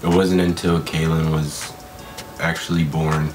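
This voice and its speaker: Laid-back young man, very deep voice. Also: level tone, a little slow, darkish tone, faint music behind. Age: 20s. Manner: laid-back, defensive, brief answers. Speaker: vlogger, young father. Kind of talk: casual couple Q&A chat.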